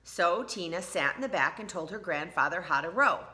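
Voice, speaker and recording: A woman teacher reading a storybook aloud to children, expressively, pausing to put questions to them. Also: fairly low pitch. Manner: expressive, questioning the young listeners.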